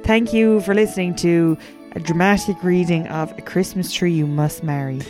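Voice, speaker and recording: A woman's voice: on the low side, fairly even intonation, normal speed. Joking woman podcaster with music behind her. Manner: sweary, joking. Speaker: podcast co-host. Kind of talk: casual banter and mock-dramatic script reading.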